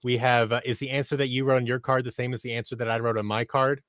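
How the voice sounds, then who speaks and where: A man's voice: medium pitch, flat delivery, fast, a bit bright, no room sound, clean studio sound. An American man in his thirties chatting casually as a podcast guest. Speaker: podcast guest, audio drama creator. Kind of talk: casual chat. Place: small room at home, indoors. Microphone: studio mic on arm.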